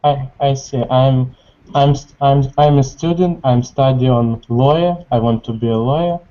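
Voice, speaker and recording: A man from Ukraine, a student in an online English class, speaking slowly and hesitantly with restarts. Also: medium pitch, warm tone. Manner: slow, hesitant speech with restarts. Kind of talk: halting learner conversation. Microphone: video call. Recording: muffled lo-fi call audio.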